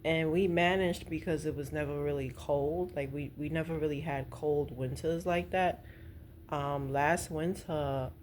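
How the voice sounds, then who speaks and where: Low-voiced New woman, noisy background. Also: a little mellow. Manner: informal, meandering, conversational. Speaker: vlogger. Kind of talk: casual rambling chat. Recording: noticeable background noise.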